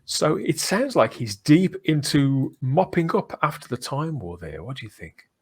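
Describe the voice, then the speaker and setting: A man's voice: on the high side, big pitch swings, a little slow, slightly rough. A man hosting a casual fan podcast, talking in a conversational way, often rephrasing himself and checking for agreement. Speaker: podcast host.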